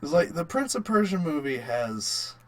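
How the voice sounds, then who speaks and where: A man co-hosting a casual film review chat, halting and repeating words as he argues his point. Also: on the high side, big pitch swings. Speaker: podcast co-host.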